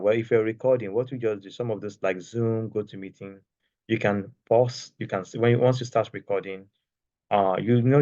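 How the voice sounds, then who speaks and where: A soft-spoken man teaching a casual online class while sharing his screen, talking loosely and checking in with "okay?". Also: on the low side, no room sound, dull audio. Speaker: course creation instructor. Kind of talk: screen-share tutorial, casual. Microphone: external microphone.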